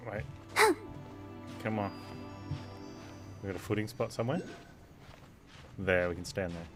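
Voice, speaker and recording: Male game streamer, lowish pitch, casual and offhand live commentary with wry asides, prominent game music behind. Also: slow pace, long pauses.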